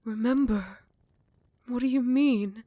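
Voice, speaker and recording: Girl doing a lovesick vampire queen, kinda high voice, whispery then yelling, dry close mic. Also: slow pace, dry recording. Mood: furious. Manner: soft close whispers turning to furious shouting. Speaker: voice actor. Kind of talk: ASMR roleplay.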